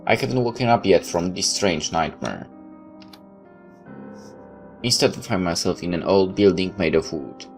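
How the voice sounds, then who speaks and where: A man with a low voice reads a first-person story aloud in the manner of a casual gamer. His narration is loose enough for side comments of his own, and soft music plays behind him. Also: moderate pace, pauses often. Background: background music.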